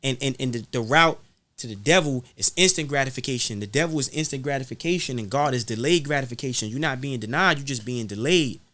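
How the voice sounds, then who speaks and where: A man's voice: mid-range voice, fast talker, pushed and bright, dry recording. A man speaking in an impassioned, preachy podcast style, repeating phrases for emphasis. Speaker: guest rapper being interviewed.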